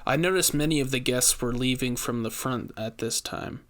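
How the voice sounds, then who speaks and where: A man's voice: medium pitch, normal speed, fairly bright tone. A man reading first-person fiction as a scripted narration, telling the scenes as the storyteller.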